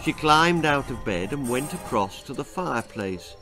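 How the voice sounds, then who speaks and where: A man's voice: mid-range voice, animated, moderate pace, music in the background. A man reading a spooky, darkly comic children's story as its author, with dramatic, suspenseful delivery. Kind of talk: scripted children's horror-comedy storytelling.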